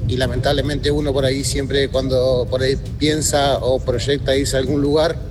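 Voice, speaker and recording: A man's voice: mid-range voice, flat delivery. An Argentine man calling in to a radio show, eagerly listing things in casual chat. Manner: enthusiastic, listing things rapidly. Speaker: caller.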